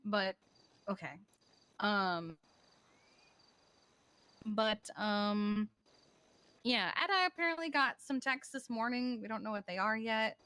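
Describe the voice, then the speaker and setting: A woman improvising in game roleplay as a matter-of-fact, wry character who recounts things haltingly. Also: medium pitch, pushed and bright, clean tone. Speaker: role-player in a live-streamed online game.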